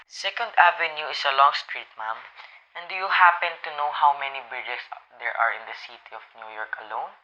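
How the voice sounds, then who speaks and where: A man's voice: mid-range voice, moderate pace, sharp tone. A young man playing an unconcerned, patronizing police sergeant in an amateur radio drama, dismissive and placating. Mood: skeptical. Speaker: student voice actor in a radio play. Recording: dull lo-fi sound, faint noise.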